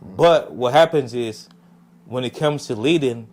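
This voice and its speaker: A man's voice: medium pitch, measured pace, darkish tone. A young man from Jamaica, a guest on a podcast, talking casually and reflectively, with many fillers and "you know what I'm saying". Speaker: podcast guest, music artist. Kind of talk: casual interview talk.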